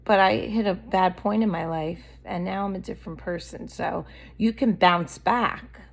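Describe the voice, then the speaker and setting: A woman's voice: medium pitch, normal speed, creaky. Blunt woman ranting on a vlog. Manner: candid, blunt, rambling rant. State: losing her voice from allergies. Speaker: vlogger. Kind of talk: unscripted rambling monologue.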